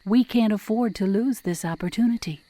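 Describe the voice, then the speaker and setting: A woman playing a supportive, hopeful wife in an audio drama, earnest and gently persuasive. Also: medium pitch, a little fast, no room sound, natural voice. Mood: reassuring, urging. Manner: reassuring, earnest.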